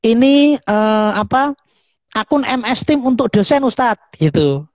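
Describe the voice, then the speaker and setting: A woman's voice: on the high side, expressive, a little slow, no room sound, muffled recording. A woman with a Javanese accent hosting an informal online question-and-answer session. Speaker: host, university staff member. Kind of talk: informal online live talk and Q&A.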